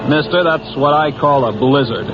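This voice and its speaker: An actor playing a tough, folksy bus driver in an old-time radio thriller, slangy, wry and plain-spoken. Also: male voice, fairly high pitch, moderate pace, noisy background, lightly acted. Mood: tense storytelling. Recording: muffled old radio broadcast, loud music.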